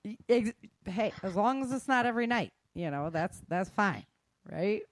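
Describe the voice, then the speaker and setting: A middle-aged woman speaks informally, as if coaching people she knows. Her voice sits at a medium pitch, and she is candid and colloquial, slipping in joking asides. The sound is dry, with very little room. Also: animated, moderate pace, no room sound. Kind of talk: informal talk to an audience.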